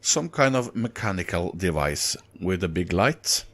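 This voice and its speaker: A man with a Norwegian accent reading sighting reports aloud in an unhurried way, breaking off for casual, halting comments. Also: sits low, very animated, fairly bright tone. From Norway. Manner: unhurried, halting in asides, chuckles at own slips. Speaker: narrator of UFO reports.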